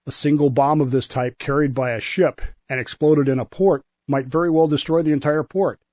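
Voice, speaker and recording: A man's voice: fairly high pitch, steady tone, a little fast, darkish tone, no echo, studio quality. A man telling history conversationally as a show guest, with an enthusiastic, emphatic delivery that sometimes halts and repeats words.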